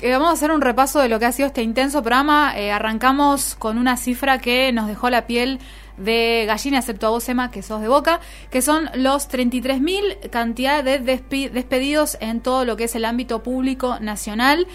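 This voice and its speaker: A woman's voice: high-pitched, brisk, a bit bright. An Argentine woman hosting a radio show in casual, informal chat with her co-hosts. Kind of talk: casual radio chat about the week's news. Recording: music bed under the voice. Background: music.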